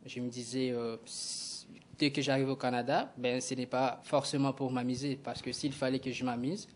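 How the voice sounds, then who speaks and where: A young man from Kinshasa, answering interview questions slowly and hesitantly, with frequent filler sounds. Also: medium pitch, flat delivery, slight background noise. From Kinshasa, DR Congo. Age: young adult. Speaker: guest, graduate student.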